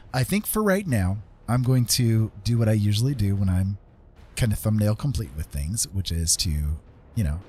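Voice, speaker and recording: A man's voice: fairly low pitch, darkish tone, slight background noise. A middle-aged man chatting casually to his livestream viewers, rambling and hesitant as he goes. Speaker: livestreamer and digital artist. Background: music playing.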